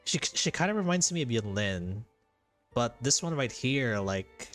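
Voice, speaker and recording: A man's voice: medium pitch, sing-song, a little slow. A man doing casual, chatty live gameplay commentary, often repeating himself. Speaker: game streamer. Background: soft game music.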